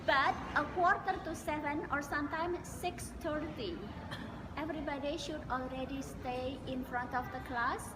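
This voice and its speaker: A woman with an Indonesian accent answering interview questions casually, explaining in an animated way and sometimes searching for words. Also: high-pitched, normal speed. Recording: echoey hall with loud background noise.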